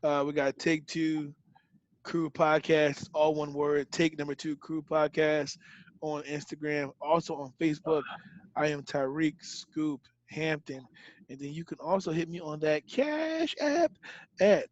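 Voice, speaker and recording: A man co-hosting a podcast, talking in a loud, joking way with quick bursts of laughter. His voice sits a little high for a man. The sound is dry and close. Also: no room sound, highs cut off. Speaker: podcast co-host. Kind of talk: casual chat.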